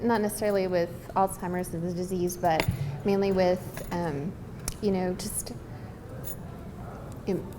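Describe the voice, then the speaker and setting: A woman's voice: lowish voice, fairly even intonation, measured pace. A woman screenwriter answering questions casually, earnest and hesitant as she searches for words. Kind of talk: casual, unscripted Q&A talk. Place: live event hall. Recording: reverberant hall with background noise.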